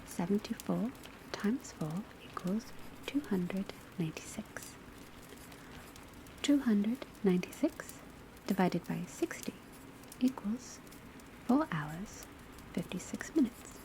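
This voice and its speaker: A woman playing a patient, scholarly witch school professor in a soft, breathy ASMR whisper, lecturing in a measured, precise way. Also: mid-range voice. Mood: patient, instructive. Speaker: teacher in a classroom roleplay. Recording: soft steady background ambience noise. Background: crackling fireplace, pencil writing, rain on rooftop.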